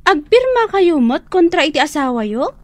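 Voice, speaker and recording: A woman's voice: high-pitched, very animated, moderate pace, darkish tone, no room sound. A woman playing an indignant young single neighbour with an Ilocano accent in a comedy radio drama. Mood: determined.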